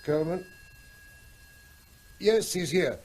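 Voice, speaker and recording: A grieving father in a radio play, quiet and hesitant. His voice sits a little high. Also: expressive, takes it slowly, exaggerated voice. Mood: subdued. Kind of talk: radio drama acting.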